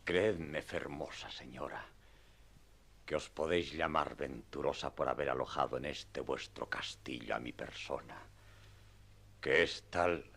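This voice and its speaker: A man playing a pompous, courtly old knight errant in radio theatre, gravelly and husky, speaking solemn archaic phrases. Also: rumbling low, a bit bright, heavily acted. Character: grandiloquent, courtly old self-styled knight errant, lovestruck.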